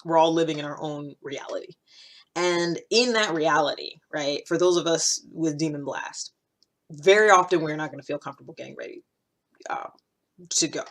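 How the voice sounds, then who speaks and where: A woman's voice: deep voice, normal speed. An American woman in her thirties giving informal, unscripted advice to camera, emphatically repeating key points for stress. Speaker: podcast host giving self-improvement advice. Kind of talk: casual, unscripted talk to camera.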